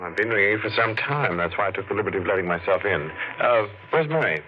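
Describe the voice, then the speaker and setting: Male actor as a brisk, probing lawyer, lowish voice, muffled old radio. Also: expressive, natural voice. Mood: insistent. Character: brisk businesslike lawyer on an estate matter. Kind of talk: 1940s radio acting. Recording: muffled 1940s radio broadcast with faint hiss.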